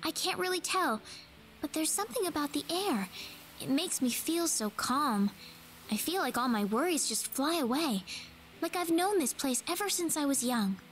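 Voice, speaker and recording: Game heroine: young, gentle and earnest, high soft voice, hopeful temper. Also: female voice, expressive, moderate pace, a little hiss or hum. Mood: calm, wistful. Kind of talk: English video game dub acting.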